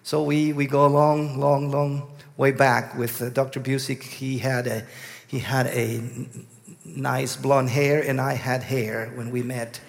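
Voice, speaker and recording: Guatemalan-accented preacher in a reverberant hall. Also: male voice, medium pitch, flat delivery, creaky. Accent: Guatemalan Spanish. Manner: halting, many restarts. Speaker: guest preacher. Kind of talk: storytelling sermon.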